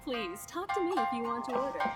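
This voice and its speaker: A woman's voice: a little high, big pitch swings, brisk. A woman voicing a polite, welcoming restaurant server in a video game. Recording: distant, under loud plucked-string music.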